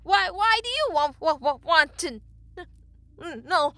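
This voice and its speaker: Woman acting a terrified, stammering male blacksmith, shrill and sobbing. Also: lively intonation, measured pace, pushed and bright, exaggerated voice. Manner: stammering, pleading.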